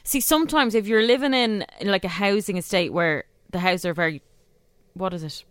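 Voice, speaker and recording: A woman's voice: mid-range voice, a little fast, fairly bright tone, smooth, no echo, studio quality. An Irish woman co-hosting a radio show, chatting in an easygoing way. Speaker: radio co-host. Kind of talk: casual radio chat. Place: radio studio.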